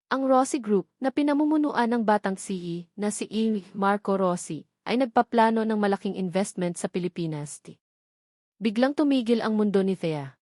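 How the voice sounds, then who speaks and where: A woman's voice: mid-range voice, darkish tone, no room sound, studio quality. A woman reading a scripted story as its narrator.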